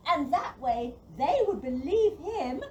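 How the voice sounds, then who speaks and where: An eager young storybook character voiced by a woman, high and animated. The delivery is slow and persuasive, in the manner of a community audio play. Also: a little hiss or hum, heavily acted.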